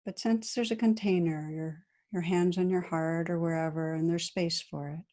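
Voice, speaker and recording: A woman meditation teacher guiding a practice in a gentle, soothing, unhurried manner with long pauses between phrases. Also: lowish voice, steady tone. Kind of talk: guided meditation.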